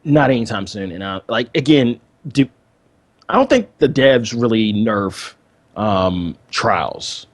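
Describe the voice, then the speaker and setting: A man co-hosting a gaming podcast in casual, unscripted chat, with somewhat halting phrasing. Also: lowish voice, very animated. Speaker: podcast co-host. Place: indoors, online voice call.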